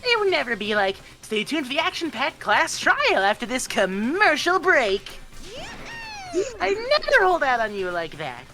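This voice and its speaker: A man's voice: very high voice, huge pitch swings, measured pace, sharp tone, faint music behind, pitched above the performer's own voice, exaggerated voice. A man voicing a gleefully cruel, cutesy robot bear mascot for a game, theatrical and taunting. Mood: mock indignation. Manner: theatrical, taunting, mock-cute. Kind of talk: hobby game character voice-over.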